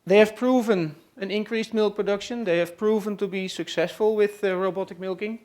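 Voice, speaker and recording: Halting Dutch-accented man presenting. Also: sits high, steady tone, a little mellow. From Netherlands. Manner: hesitant, many "uh" and "let's say" fillers. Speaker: presenter hosting a farm tour session. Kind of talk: live introduction to an audience. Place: room at a trade expo.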